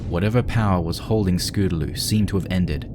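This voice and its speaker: A man's voice: deep voice, brisk, loud music behind the voice. A man working as narrator, reading a scripted horror story dramatically.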